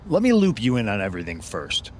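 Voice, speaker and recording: A man's voice: on the low side, wildly swooping pitch, fast talker, a little hiss or hum. A man playing a persuasive, scheming leader of a wrestling faction in a video game. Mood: persuasive, assured. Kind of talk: scripted game cutscene dialogue.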